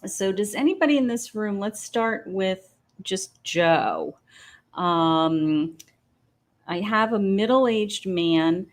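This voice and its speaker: A woman hosting a live psychic reading from her patio, talking slowly with many pauses and fillers as she thinks aloud. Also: medium pitch, lively intonation. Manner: slow, hesitant, many ums, thinking aloud. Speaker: psychic medium host. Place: home patio.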